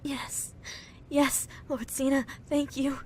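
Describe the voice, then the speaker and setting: A frightened young devotee played by a woman speaks in a high voice, very slowly and haltingly. She is submissive and deferential, acted with raw emotion for radio drama, with faint noise in the recording. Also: little pitch movement, darkish tone. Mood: cowed. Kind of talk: emotional audio drama acting.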